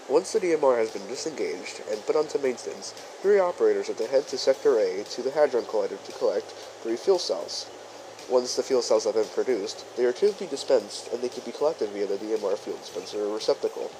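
A man playing a courteous chief engineer who presents a training film in formal, measured, instructional narration. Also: medium pitch, lively intonation, dark tone, a little hiss or hum, lightly acted, rough recording. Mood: instructive, formal. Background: music behind the voice.

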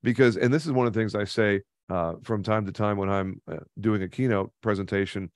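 Man, thirties, podcast guest on a studio mic: low, emphatic, coaching, dry close sound. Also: fairly even intonation, a little fast, dry recording. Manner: emphatic, coaching, repeats key points. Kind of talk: conversational webinar interview. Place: home studio with neon sign. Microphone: studio mic on boom arm, headphones.

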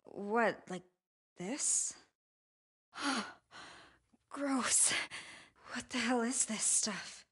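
A woman's voice: on the high side, extremely slow, long pauses, no room sound, studio quality, full character voice. An actress voicing a wary, sardonic young woman in a horror video game, muttering to herself. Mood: uneasy, sardonic. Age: young adult. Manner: muttering to herself, hushed, breathy.

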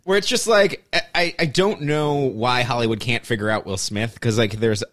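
A man's voice: mid-range voice, normal speed, a bit bright. Jokey, opinionated male co-host. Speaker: podcast co-host. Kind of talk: casual chat.

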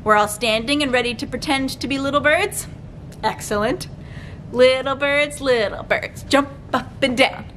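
A young woman leading a children's storytime, reading aloud with deliberate, clearly articulated phrasing as a librarian would for small kids. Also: high-pitched, a bit bright, slight background noise. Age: young adult. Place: library room with bookshelves, indoors.

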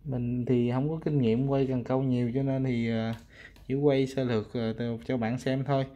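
A man's voice: medium pitch, level tone, moderate pace, mellow, lo-fi sound. Southern vietnamese guy showing off stuff he sells, mid voice, chatty and informal, talking to viewers like buddies. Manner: informal, addresses viewers as friends. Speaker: fishing rod seller presenting products. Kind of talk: casual product showcase.

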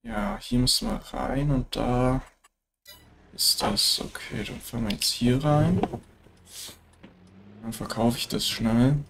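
A man's voice: medium pitch, slow, vocal fry, long pauses, background noise. A German-accented man with a stuffy nose from a cold, chatting casually while narrating his farming game. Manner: relaxed, chatty, frequent filler tags. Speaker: let's play gamer. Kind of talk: casual gameplay commentary.

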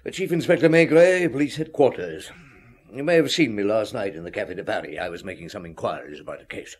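Brief: middle-aged detective, lowish voice, calm, probing, measured radio-play delivery. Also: male voice, breathy edge. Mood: polite, probing. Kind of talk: radio drama acting.

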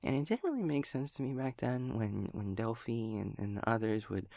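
Guy doing a sweet scatterbrained young male daemon, creaky voice, stammers and rambles, super close dry audio roleplay. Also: medium pitch, moderate pace, no room sound, natural voice. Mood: earnest, confused. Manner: hesitant, stammering, rambling, childlike, softly giggling. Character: gentle, naive, scatterbrained young male empathy daemon friend.

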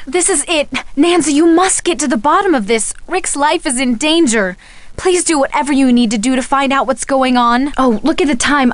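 Casting: friendly young American soap starlet for an adventure game, played by a woman, highish voice, earnest and expressive, dry close sound. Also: no echo, lightly acted. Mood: worried. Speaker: video game character voice actor. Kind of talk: scripted game dialogue.

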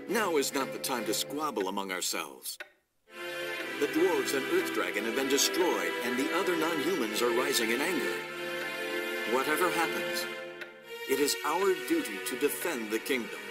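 A man voicing a grave, authoritative lord who commands knights in a video game, speaking formally. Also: medium pitch. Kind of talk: scripted video game voice acting. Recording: music under the voice.

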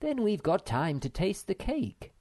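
Motherly female troll played by a man, high and lilting, fretful, sighing, children's storybook. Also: big pitch swings, measured pace, dark tone. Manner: anxious, sighing.

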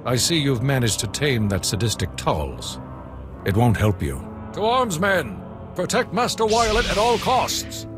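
A man's voice: medium pitch, sing-song, a little slow, music in the background. A man voicing a defiant, protective young Jedi in a video game, formal and commanding. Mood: defiant, rallying.

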